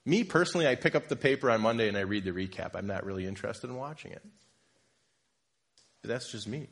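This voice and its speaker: Male lay preacher, husky and a bit sniffly from a cold, chatty and self-deprecating in the pulpit. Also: fairly low pitch, expressive, quick pace. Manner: conversational, jokes with congregation, self-deprecating. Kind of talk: informal sermon. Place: church.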